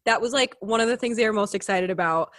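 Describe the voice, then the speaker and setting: A young woman co-hosting a podcast in casual chat, her voice a little raspy as she recovers from illness. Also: medium pitch, quick pace, fairly bright tone, dry recording, clean studio sound. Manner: chatty, upbeat, lots of "like". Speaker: podcast co-host.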